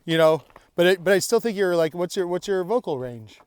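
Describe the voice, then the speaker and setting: A man's voice: sits high, expressive, fairly quick, darkish tone, clear, resonant tone, no echo. A man co-hosting a podcast, chatting casually and rambling a little as he walks an outdoor mountain trail. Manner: chatty, rambling, laughs often. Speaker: podcast co-host. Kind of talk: casual chat on a hike.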